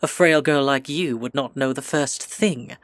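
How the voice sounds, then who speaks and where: A man's voice: medium pitch, unhurried, a bit bright, no echo, close to an everyday voice. A man playing an entitled sixteen-year-old village bully with haughty, taunting archaic speech in a period audio drama. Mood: contemptuous.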